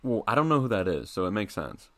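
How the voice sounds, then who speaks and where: A man's voice: fairly low pitch, big pitch swings, fast, fairly bright tone. A young man co-hosting a casual sports podcast, easygoing and self-deprecating. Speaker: podcast co-host.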